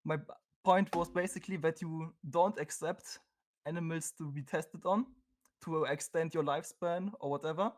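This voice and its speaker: A man's voice: fairly high pitch, steady tone, moderate pace, slight background noise. A man from Germany with a German accent, a non-native English speaker debating on a call, hesitant and searching for words. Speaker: caller.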